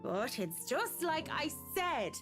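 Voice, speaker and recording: Gal doing a brash boozy young woman, crude and cheeky, highish voice, game music behind. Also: very animated, slow, exaggerated voice. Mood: scornful. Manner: crude, teasing, slangy.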